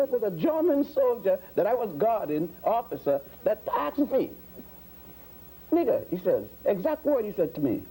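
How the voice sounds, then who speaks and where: A man's voice: very high-pitched, mellow, breathy edge, poor audio. A man in his sixties from Puerto Rico and the Virgin Islands, a guest historian answering interview questions in a lecturing way, piling up facts with many fillers and restarts.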